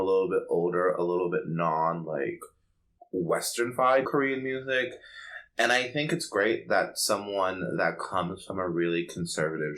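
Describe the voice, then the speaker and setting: A man's voice: sits low, fairly bright tone. A man co-hosting a podcast in a casual, opinionated way, with campy, slangy talk. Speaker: podcast co-host.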